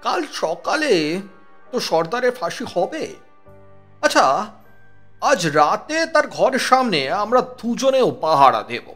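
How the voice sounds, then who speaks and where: An actor playing a pompous, sceptical British colonial police chief in an audio horror story. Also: male voice, high-pitched, big pitch swings, takes it slowly, pauses often, a bit bright, faint music behind, exaggerated voice. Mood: hesitant, conceding. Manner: pompous, dismissive.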